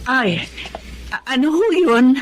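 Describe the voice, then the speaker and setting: A woman's voice: high-pitched, expressive, slow, slight background noise. A Filipino actress playing a scornful, greedy middle-aged mother in a Tagalog radio drama, mocking and nagging. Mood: mocking, feigned politeness. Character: scornful, greedy mother who belittles her homely son.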